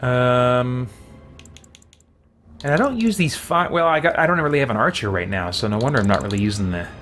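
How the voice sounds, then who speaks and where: A man's voice: medium pitch, animated, a little fast, fairly bright tone, soft background music. A man doing casual game livestream commentary, thinking aloud and muttering short remarks to himself as he chats to viewers.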